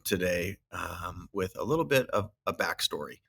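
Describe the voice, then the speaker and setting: A deep-voiced male podcast host with a bright, clear tone. He frames his questions slowly and at length, and his delivery stays measured throughout. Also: expressive. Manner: measured, long winding questions. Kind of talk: interview hosting.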